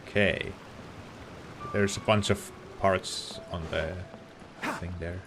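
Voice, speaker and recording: A man's voice: low voice, fairly even intonation, takes it slowly, background noise. A man giving casual let's play commentary, offhand, reacting and musing aloud.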